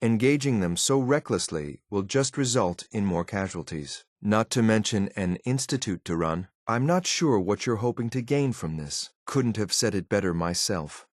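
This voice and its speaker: A man voicing a cold, ruthless synthetic enforcer in a video game, with a clipped, deadpan, formal delivery. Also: lowish voice, moderate pace, hardly any pauses, dry recording, clean studio sound. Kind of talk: scripted game companion voice lines.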